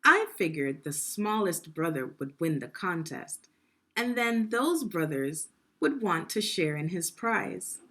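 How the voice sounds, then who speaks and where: A woman teacher reading a story aloud to children in an expressive, deliberate, instructional way, pausing with guiding questions. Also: lowish voice.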